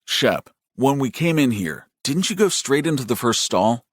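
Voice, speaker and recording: A man's voice: medium pitch, very animated, normal speed, no room sound, clean studio sound. A man voicing a patient, protective older brother in an audiobook, coaxing and reassuring. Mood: exasperated, coaxing. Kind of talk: audiobook character voice.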